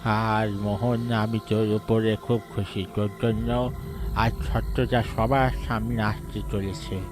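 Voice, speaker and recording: Man as aggrieved male ghost, ominous, slow drawn-out menace, horror cartoon, music behind. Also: fairly low pitch, flat delivery. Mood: ominous, solemn. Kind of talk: animated horror story dialogue.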